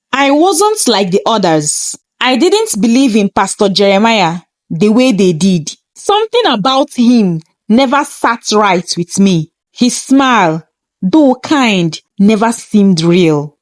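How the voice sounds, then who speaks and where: A woman's voice: mid-range voice, big pitch swings, takes it slowly, dry recording. A woman reading a scripted first-person story in a dramatic, suspenseful manner.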